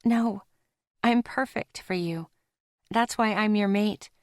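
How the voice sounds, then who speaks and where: A woman voicing a gentle but stubborn young woman who speaks softly and soothingly in an audiobook romance. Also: medium pitch, takes it slowly, no room sound, clean studio sound, natural voice. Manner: soft and soothing.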